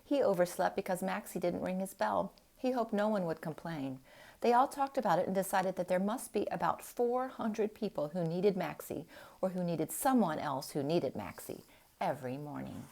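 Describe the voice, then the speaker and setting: Lower-voiced woman reading a storybook. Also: vocal fry, a little mellow. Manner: deliberate, dramatic phrasing. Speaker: storybook reader. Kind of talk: expressive read-aloud storytelling.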